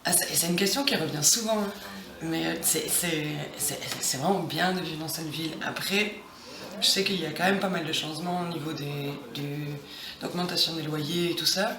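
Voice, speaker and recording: A woman's voice: low voice, normal speed. A French woman in her thirties answering interview questions casually, with many restarts. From France. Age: 30s. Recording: roomy, distant, crowd behind.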